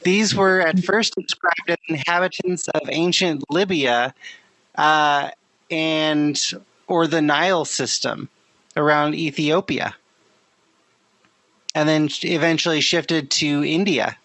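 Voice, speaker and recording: A podcast co-host reading out research notes in casual chat, unhurried, with filler ums. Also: mid-range voice, fairly even intonation, a bit bright, dry recording, low-quality recording.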